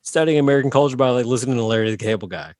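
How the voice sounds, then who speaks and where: A man's voice: mid-range voice, rapid-fire, a little mellow, no echo, studio quality. A man co-hosting a comedy podcast, bantering playfully with teasing quips. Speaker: podcast co-host.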